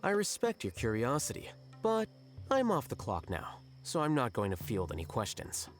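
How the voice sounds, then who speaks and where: An actor playing an aloof, unbothered young scholar in a video game, curt and deadpan. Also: male voice, medium pitch, moderate pace, soft background music. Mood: detached. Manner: deadpan, matter-of-fact.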